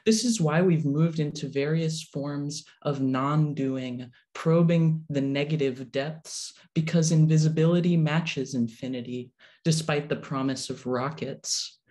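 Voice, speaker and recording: Slow-paced woman reading poetry. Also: deep voice, dark tone. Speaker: poet reading own work. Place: remote video call. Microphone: video call microphone.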